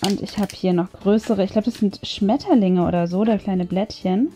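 Woman, soft mellow tone, medium pitch, chatty craft host thinking aloud, music behind. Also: moderate pace. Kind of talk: casual hands-on craft tutorial commentary. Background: background music.

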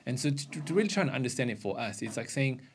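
A man's voice: mid-range voice, animated, quick pace. A man in his thirties from Australia, explaining and giving testimony as a missionary in an onstage interview with a handheld mic. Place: indoor stage with curtain backdrop. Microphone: handheld microphone.

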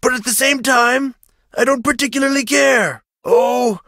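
Very high, bright-voiced chirpy grandpa. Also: male voice, fairly even intonation, a little slow, vocal fry, no room sound, studio quality, full character voice. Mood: cheerful, rambling. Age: 80s, as played. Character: cheery, chatty 86-year-old contestant grandparent. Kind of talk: video game character acting.

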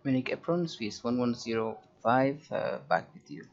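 A man giving halting, think-aloud flight simulator commentary and pilot radio calls. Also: mid-range voice, muffled recording. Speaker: host, virtual pilot.

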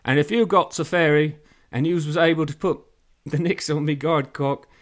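A man's voice: on the high side, fairly even intonation, normal speed, voice pushed up, lightly acted. A man voicing an indignant, dim-witted, angry male pirate in a comedic story reading. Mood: blustering. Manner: indignant, exclaiming.

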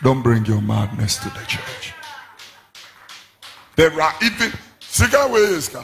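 A middle-aged man with a Ghanaian accent, a pastor preaching a fiery sermon into a handheld mic in a large church hall, shouting in sing-song refrains. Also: fairly high pitch, huge pitch swings, slow pace, gravelly, slight background noise.

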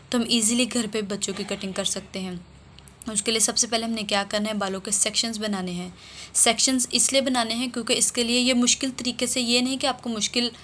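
A woman's voice: a little high, fairly bright tone, a little hiss or hum. A woman hairdresser narrating a how-to video, explaining step by step and often repeating herself. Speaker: hairdresser giving a tutorial. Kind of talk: instructional voice-over for a how-to video.

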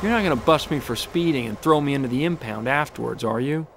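An actor voicing a cocky, easygoing young male race car in an animated game, with a breezy, wisecracking manner. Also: medium pitch, fast talker, creaky, noisy background, natural voice. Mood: playful. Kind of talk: animated game cutscene acting.

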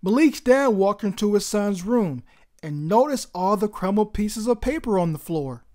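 A woman narrates a children's read-aloud as story time host. Her delivery is expressive, with a lively, wide-ranging pitch. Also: normal speed.

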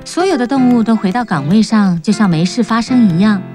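A woman's voice: medium pitch, expressive, a little fast, dark tone, soft background music. A woman storyteller giving scripted narration for a children's tale.

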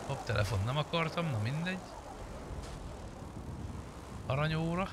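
A man's voice: a little high, animated, very noisy. A man chatting casually and bluntly with friends while gaming, swearing now and then. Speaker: gamer in a group roleplay session.

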